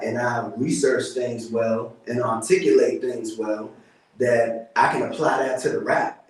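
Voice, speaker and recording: A man's voice: medium pitch, darkish tone. A man with a DC area accent, a rapper guest talking casually, laid-back and often saying "you know what I'm saying". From Washington DC area. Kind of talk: casual interview chat. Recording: roomy, mic at a distance.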